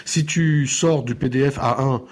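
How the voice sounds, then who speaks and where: A man's voice: medium pitch, fairly even intonation, a little slow. A man speaking as a podcast contributor, challenging points in casual discussion.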